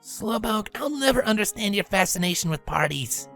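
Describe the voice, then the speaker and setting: A sardonic pegasus captain as a woman narrator puts her on talks above a normal low register. She is deadpan and teasing, a confident storybook team leader. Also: moderate pace, creaky, faint music behind, full character voice.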